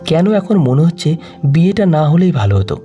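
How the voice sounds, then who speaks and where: A man narrating an emotional audio story in the first person, reading in a soft, sorrowful way. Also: on the high side, very animated, normal speed, muffled recording, faint music behind.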